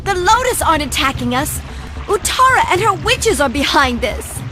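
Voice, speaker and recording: A woman's voice: high-pitched, sing-song, normal speed, sharp tone, faint music behind, full character voice. A woman playing a distressed, earnest forest maiden in a video game cutscene, urgent and dramatic. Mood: urgent worry.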